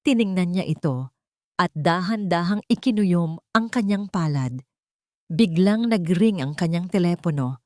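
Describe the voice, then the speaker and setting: A woman narrating a scripted dramatic story in a measured, solemn way. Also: lowish voice, lively intonation, darkish tone, dry recording, clean studio sound.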